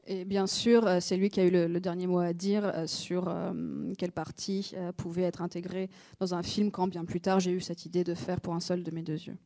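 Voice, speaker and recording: Flat, mellow-voiced woman interpreter. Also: lowish voice, moderate pace, hardly any pauses. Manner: steady, with frequent fillers. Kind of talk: consecutive interpreting. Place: conference centre.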